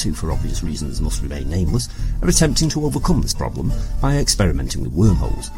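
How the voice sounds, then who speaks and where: A man playing a mock-learned narrator, delivering pedantic, tongue-in-cheek scripted comic explanations. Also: deep voice.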